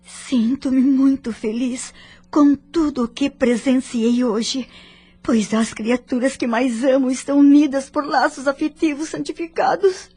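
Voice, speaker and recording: A woman playing a tender, humble spirit learner in an audio drama, speaking with breathy, emotional reverence. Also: high voice, darkish tone. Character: tender, awestruck female spirit, a humble learner longing for loved ones. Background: music.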